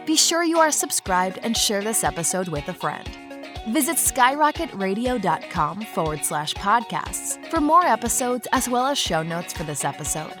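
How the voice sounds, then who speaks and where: Lively woman announcer over prominent music. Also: on the high side. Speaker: podcast outro announcer. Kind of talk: scripted promotional announcement.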